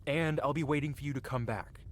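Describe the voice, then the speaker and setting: A man's voice: a little high, steady tone, fairly quick, a little hiss or hum, lightly acted. A man playing a cocky, flirty yet tender-hearted medieval blacksmith in an intimate, theatrical ASMR fantasy roleplay. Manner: teasing, theatrical, with soft hesitations. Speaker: audio roleplay performer. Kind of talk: ASMR roleplay acting, intimate one-sided dialogue.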